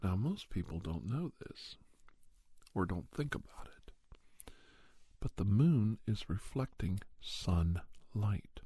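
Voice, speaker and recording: A man playing a paranormal investigator who recounts his cases in soft, breathy, halting first-person ASMR narration. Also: lowish voice, sing-song, dry recording, full character voice. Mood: calm, hushed, matter-of-fact. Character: male paranormal investigator doctor recounting monster cases, unhurried. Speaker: storyteller.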